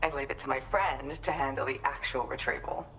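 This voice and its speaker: A woman's voice: very deep voice, fairly quick, a bit bright, slight background noise, rough recording. An actress playing a kind, composed woman prospector and inventor in a video game, speaking politely and articulately in an explanatory way. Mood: friendly, explanatory. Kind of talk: scripted video game cutscene dialogue.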